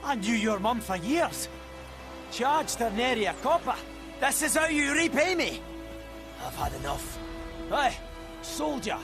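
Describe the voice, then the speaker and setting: A man playing a blunt, indignant village smith in a video game, excitable and grumbling. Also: very high voice, a little slow, pauses often, heavily acted. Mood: outraged, bitter. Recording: music behind the voice.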